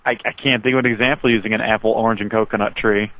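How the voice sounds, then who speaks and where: A man's voice: lowish voice, level tone, very fast, no room sound. A man working as a customer support agent, patiently and hesitantly explaining over the phone. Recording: narrow, tinny phone line.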